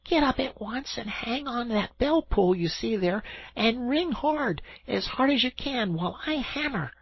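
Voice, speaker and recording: A high, bright little water rat, bossy and brisk, barking orders as a woman puts him on. He leaps all over his range in a dry, close, slightly husky voice for a storybook reading. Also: lively intonation, no room sound, full character voice. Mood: impatient. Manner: sharp, impatient and commanding.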